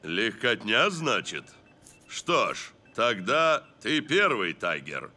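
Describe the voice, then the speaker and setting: An actor playing a commanding male football coach in an animated cartoon, speaking with measured authority. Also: on the low side, full character voice. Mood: stern, commanding.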